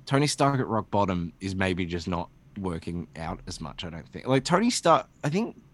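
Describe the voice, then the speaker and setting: Male podcast co-host, slightly low register, animated and sweary, loose opinionated chat. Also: normal speed. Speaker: comic book podcast co-host.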